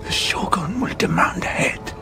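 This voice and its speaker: A man's voice: high voice, expressive, normal speed, creaky, in a whisper, heavily acted. A man playing a stern, proud older samurai lord in a video game, speaking formally and with solemn command. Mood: grave pleading. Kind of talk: dramatic video game acting. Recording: dramatic music behind.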